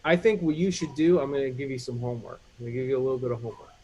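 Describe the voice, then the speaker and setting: A man's voice: medium pitch, rapid-fire, a little mellow, husky, poor audio. A man hosting a casual live chat, coaching a guest on music production with lots of fillers. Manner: mentoring, gives advice, many fillers. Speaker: host.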